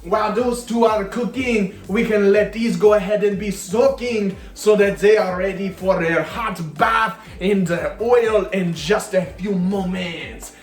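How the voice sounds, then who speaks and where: A man's voice: sits high, unhurried, hardly any pauses. A man playing a jolly chef on a kids' cooking show with a put-on French accent, hammy and playful, groping for words. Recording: roomy kitchen echo, faint music.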